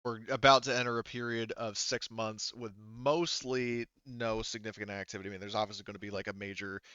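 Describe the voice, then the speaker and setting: A low-voiced man runs a tabletop roleplaying game, working out rules aloud and often rephrasing. His tone is bright and smooth, though the dry recording is a little dulled. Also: dry recording. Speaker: game master. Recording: slightly muffled, band-limited voice audio.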